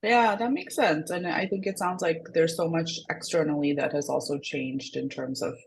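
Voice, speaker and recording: A woman in her thirties from Singapore with an American-sounding international school accent, talking in a thoughtful, halting way full of fillers. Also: sits low, vocal fry, a little hiss or hum. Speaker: mental health counselor co-hosting a discussion. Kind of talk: casual reflective conversation.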